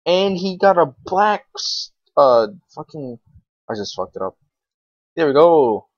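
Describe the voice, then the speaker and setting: A man's voice: fairly high pitch, very animated, measured pace, no echo. A young man giving casual, unscripted game commentary, rambling to himself in slang with some swearing. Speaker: gaming video creator. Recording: dull, lo-fi microphone.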